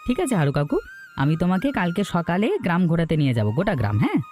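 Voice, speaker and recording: A woman's voice: on the low side, sing-song, racing, darkish tone, soft background music. A woman playing a poor, humble young orphan lad in a cartoon folktale, earnest and deferential. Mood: earnest, proud.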